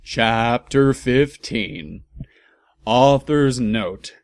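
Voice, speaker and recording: A man's voice: medium pitch, very slow, creaky, smooth. A man reading a story aloud as a comedic host, breaking off for wry, amused asides.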